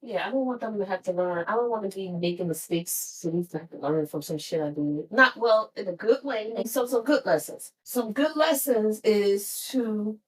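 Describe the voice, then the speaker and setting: A woman's voice: medium pitch, normal speed, hardly any pauses, far from the mic, poor audio. A woman in her fifties chatting casually with her daughter, blunt and playful, often laughing. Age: 50s. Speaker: podcast guest, author and host. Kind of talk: casual family chat, question cards.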